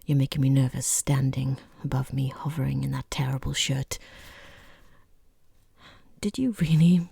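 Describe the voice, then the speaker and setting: Woman playing a whispering, rude boss, low and husky. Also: fairly even intonation, normal speed, dark tone, no room sound, studio quality, exaggerated voice. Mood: appalled, condescending. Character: rude, bored, condescending boss interviewing a job applicant. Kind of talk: soft-spoken ASMR roleplay, mostly whispered. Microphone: close microphone.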